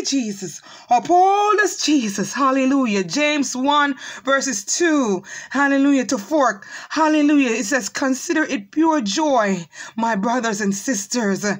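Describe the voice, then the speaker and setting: A woman leading fervent spoken prayer, reciting scripture and pleading with repeated exclamations of praise. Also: on the high side. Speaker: prayer leader.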